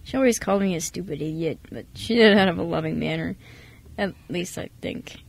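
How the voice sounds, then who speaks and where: A woman playing an awkward, kindly mechanic in his thirties in an amateur radio drama, casual and hesitant, with a husky voice. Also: on the low side, a little fast. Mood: mild, wistful. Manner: hesitant, self-effacing, casual. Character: awkward, kindly thirty-four-year-old male mechanic.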